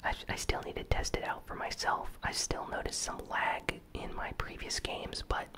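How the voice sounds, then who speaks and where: A host whispering an ASMR news recap in a hushed, unhurried way, entirely without voicing. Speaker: host of a gaming news channel.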